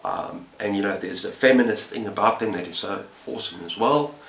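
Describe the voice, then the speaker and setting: Low-voiced middle-aged man, roomy sound. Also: fairly quick, creaky, fairly bright tone. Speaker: book reviewer and channel host. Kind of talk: casual chat to camera about books. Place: small indoor room with bookshelves. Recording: roomy echo, faint background noise.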